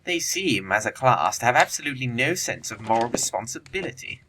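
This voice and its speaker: An actor playing a flippant, witty young upper-class English bachelor in an audio play, with arch, lofty, affected phrasing. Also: male voice, medium pitch, big pitch swings, a little fast, noisy background, natural voice. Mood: dryly lofty. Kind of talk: audio play acting of Victorian comedy of manners.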